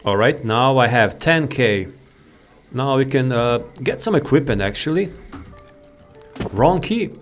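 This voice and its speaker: A man's voice: medium pitch, lively intonation, measured pace, pauses often, soft background music. A man giving casual, rambling gameplay commentary, laid-back and thinking aloud. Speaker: gaming video creator.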